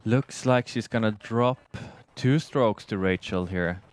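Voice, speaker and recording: Male sports commentator, Swedish accent, native Swedish speaker, low voice, conversational live delivery. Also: slow. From Sweden.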